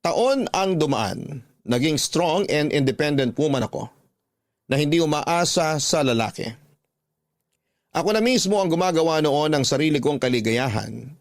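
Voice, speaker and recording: A man narrating a scripted first-person story for a radio drama, measured and dramatic, drawing out the ends of phrases. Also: medium pitch, no room sound, studio quality. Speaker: radio drama host and narrator reading a letter.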